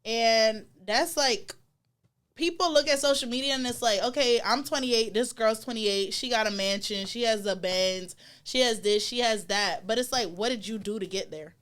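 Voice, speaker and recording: A woman with a slightly high voice and an American accent tinged with Bahamian. She co-hosts a casual podcast and talks candidly, in loose, slangy phrases, saying exactly what she thinks. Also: normal speed, fairly bright tone. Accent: Bahamian-influenced American. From United States. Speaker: podcast co-host. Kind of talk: casual chat.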